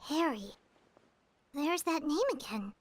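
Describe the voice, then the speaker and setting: A woman voicing a small, childlike fairy companion in a video game, with a squeaky, expressive delivery. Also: slow. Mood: uneasy, puzzled. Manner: third-person self-reference, expressive. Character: small chirpy fairy companion, childlike, speaks of herself by name. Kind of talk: video game character acting.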